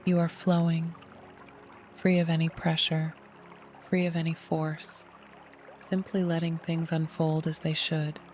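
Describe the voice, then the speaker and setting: Meditation guide voice, gentle n unhurried, flat calm pitch, warm dark tone, music and water running behind. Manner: soothing, unhurried, gentle instruction. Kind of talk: guided meditation, scripted narration.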